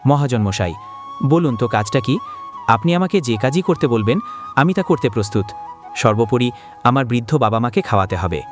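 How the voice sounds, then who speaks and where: A man voicing a humble, flattering poor farm labourer in a cartoon, speaking in a deferential, pleading way. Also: medium pitch, normal speed, long pauses, soft background music, lightly acted. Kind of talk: cartoon dialogue acting.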